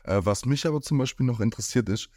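A man's voice: mid-range voice, fast, dark tone, dry recording, studio quality. A man from near Stuttgart hosting an interview, asking long rambling questions in a casual tone. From near Stuttgart, Germany. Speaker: podcast host.

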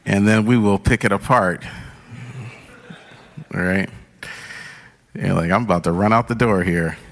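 A low-pitched man preaches from a stage in a casual, conversational way, often stopping to restart a thought. His voice rings out with a long reverb from the hall around him. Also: unhurried, creaky. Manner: conversational, many fillers and restarts. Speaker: pastor. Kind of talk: sermon. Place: church stage.

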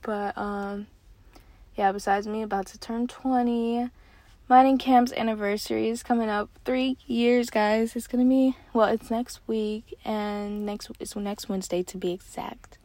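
A woman's voice: on the high side, unhurried, dry recording. Chatty nineteen-year-old woman, dry sound. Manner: chatty, filler-heavy, excitable. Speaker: podcast host. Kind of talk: casual solo podcast chat. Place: parked car.

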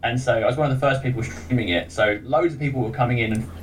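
English guy, easy podcast guest, self-mocking and laughing, middle pitch, faint ambience behind. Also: fast, slight background noise, poor audio. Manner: chatty, self-deprecating, laughs often. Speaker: podcast guest, game streamer. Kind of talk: casual chat.

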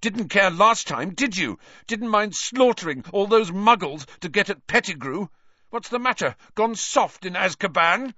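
Man as a high, forceful, furious young boy. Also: expressive, measured pace, no echo, lo-fi sound, full character voice. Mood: bitter taunting. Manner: spitting, forceful. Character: angry, defiant thirteen-year-old boy wizard, hot-tempered.

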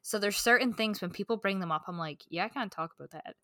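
A woman's voice: mid-range voice, quick pace, a bit bright, no echo, studio quality. A woman co-hosting a podcast, talking in a casual, candid way about books. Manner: candid, informal. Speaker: podcast co-host. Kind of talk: casual book discussion chat.

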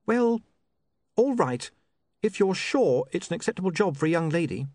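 A man voicing a prim, sharp young lady, indignant and suspicious, in an audiobook story. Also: high voice, big pitch swings, moderate pace, dry recording, pitched above the performer's own voice. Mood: prim, doubtful.

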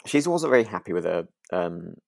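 A man's voice: low voice, sing-song, fairly quick, creaky. A British man co-hosting a podcast, chatting casually in a hesitant, meandering way. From United Kingdom. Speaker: podcast co-host. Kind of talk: casual chat.

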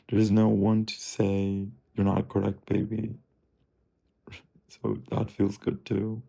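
Man, low voice, very slow, musing self-talk, profane, drifting. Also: completely flat delivery, vocal fry, warm tone. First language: Turkish. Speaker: streamer. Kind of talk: rambling self-talk monologue.

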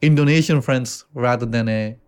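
A man with an Indian accent hosting a casual podcast chat, talking loosely with frequent swearing and halting restarts. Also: medium pitch, darkish tone, smooth. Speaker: podcast host.

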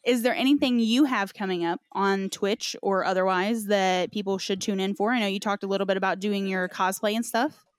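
A woman's voice: mid-range voice, steady tone, fairly quick, few pauses, clean tone, no room sound. Dry-sounding woman hosting a casual podcast. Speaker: podcast host. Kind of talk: casual chat.

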